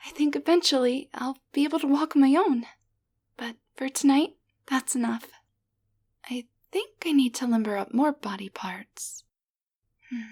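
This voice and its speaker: A woman playing a gentle, grateful young waitress turned into a tiny living doll, speaking softly and hesitantly in an intimate roleplay audio drama. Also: sits high, moderate pace. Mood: happy. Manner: soft, breathy, hesitant, tender delivery.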